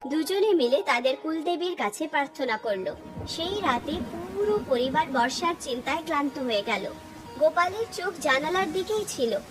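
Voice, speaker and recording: Woman narrator for a cartoon story, high pitched, music playing behind her. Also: animated, moderate pace, rough recording. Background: background music.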